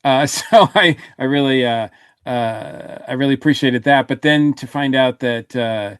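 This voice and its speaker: A man's voice: mid-range voice, a little slow, clean tone, no room sound. A man with a mid-range voice talks casually on a review podcast. He feels his way through sentences, hesitating and repeating words often. The sound is dry, with very little room to it. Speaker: podcast panelist.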